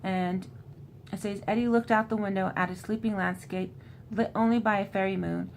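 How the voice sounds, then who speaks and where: A woman's voice: mid-range voice, fairly even intonation, normal speed, gravelly, darkish tone, slight background noise. A woman in an informal, conversational style, recapping a book and reading passages from it aloud. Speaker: host recapping a horror novel.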